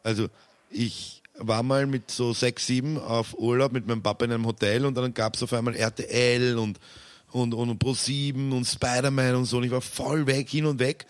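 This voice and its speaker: Young Viennese male host, lively anecdote and joke teller, medium-pitched voice. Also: normal speed. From Austria. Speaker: podcast host. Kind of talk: casual podcast chat.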